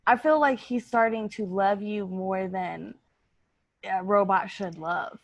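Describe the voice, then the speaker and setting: A woman's voice: mid-range voice, slow pace, no echo, pitched below the performer's own voice. A woman playing an exasperated, suspicious girlfriend with an incredulous manner in an improvised comedy sketch. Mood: exasperated, uneasy. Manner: incredulous, hesitant repetition. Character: exasperated, suspicious girlfriend nagging her partner.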